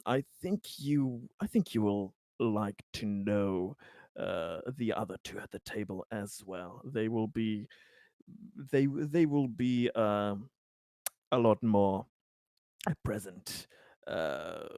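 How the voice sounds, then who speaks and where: A man improvising a glib, self-assured political schemer in a roleplaying game, halting and repeating himself as he placates people. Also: lowish voice, a little mellow, no room sound, studio quality. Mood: genial, confident.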